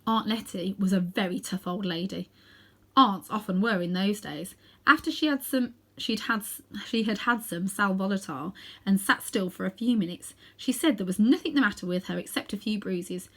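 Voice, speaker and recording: Casting: female storybook reader, mid-pitched, expressive narration for children. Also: moderate pace.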